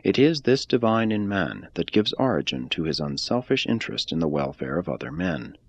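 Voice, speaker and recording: Deep, husky man reading a religious text. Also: very animated, normal speed, dry recording, lo-fi sound. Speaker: reader.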